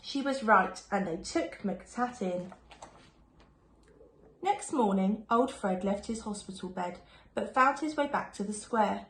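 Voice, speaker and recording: A woman reading a rhyming children's picture book aloud in an expressive, sing-song storytelling manner, like a primary school teacher. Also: medium pitch, takes it slowly. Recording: echoey room with faint background noise.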